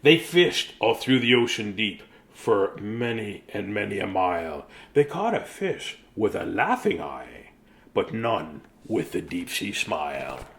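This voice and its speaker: A man's voice: lowish voice, sing-song, a little slow, gravelly, a bit bright. A middle-aged man reads a children's bedtime story in a silly, sing-song way, breaking off for jokey asides. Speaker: storyteller and host. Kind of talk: playful bedtime story reading with joking asides.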